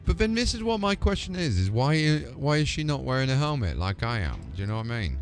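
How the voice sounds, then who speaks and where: A man's voice: mid-range voice, sing-song, fast, faint music behind. A man livestreaming a video game with casual, chatty commentary, explaining things to viewers.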